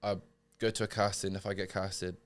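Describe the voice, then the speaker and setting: A man's voice: sits low, level tone, fairly quick. A man in his thirties, a podcast guest chatting casually and rambling with lots of fillers. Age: 30s. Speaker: podcast guest, model and actor. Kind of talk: casual chat.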